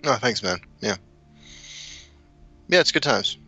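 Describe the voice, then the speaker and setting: A man's voice: medium pitch, slow pace, soft background music. A man from South Dakota who grew up in Kansas City, chatting casually as a podcast guest and telling rambling stories with easy laughs. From South Dakota, raised in Kansas City. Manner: relaxed, rambling storyteller, laughs often. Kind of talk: casual chat.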